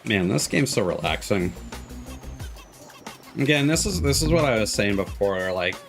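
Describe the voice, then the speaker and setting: A man's voice: fairly low pitch, big pitch swings, normal speed. A man giving casual live commentary as he streams a video game. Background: game music.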